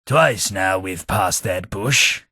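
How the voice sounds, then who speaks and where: An English actor voicing a posh, sardonic ghoul gentleman companion in a video game, with a plummy, dry delivery. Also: low-pitched, slow, breathy edge, dry recording, heavily acted. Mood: wry. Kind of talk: game voice lines.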